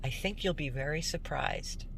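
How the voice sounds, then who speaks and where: A woman giving earnest, emphatic religious commentary as a host, in deliberate narration. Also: low voice, creaky, noisy background. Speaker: host introducing a recorded call.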